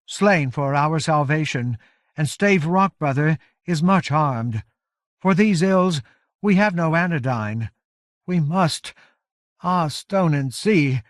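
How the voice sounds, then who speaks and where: A man's voice: a little high, no echo, studio quality, pitched above the performer's own voice. A man voicing an exhausted giant woman warrior leader in an audiobook, speaking in formal, archaic, grave oratory with a strained voice. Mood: weary, urgent. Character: Rime Coldspray, weary giant woman warrior leader, solemn. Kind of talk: audiobook character voice.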